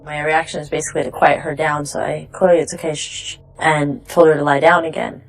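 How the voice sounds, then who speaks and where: A woman's voice: deep voice, little pitch movement, brisk, soft background music, poor audio. A woman recounting personal events in a documentary interview. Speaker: crime survivor interviewee.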